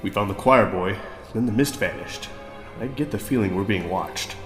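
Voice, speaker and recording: A man playing a stubborn, commanding adventurer in a fantasy audio drama, speaking gravely. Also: lowish voice, moderate pace, natural voice. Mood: grave, resolute. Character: determined, commanding male adventurer in a fantasy party. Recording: echoey reverberant hall with music behind.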